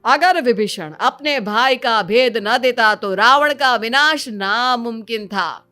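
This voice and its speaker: Casting: female reader playing a pious village priest, preachy and measured, high-pitched, for a cartoon story. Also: sharp tone, soft background music. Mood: solemn, preaching.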